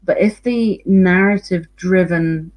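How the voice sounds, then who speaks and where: A woman's voice: lowish voice, unhurried, a little mellow. A Scottish woman, a university researcher, giving an earnest online conference talk with frequent ums and repeated words. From Scotland.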